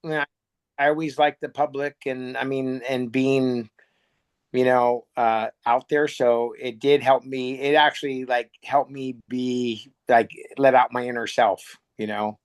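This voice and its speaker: A middle-aged American man speaks at an average pitch in a casual interview. He is reflective and plain-spoken, often pausing as he searches for the next words. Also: steady tone, clean tone. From United States. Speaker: interview guest, air show pilot.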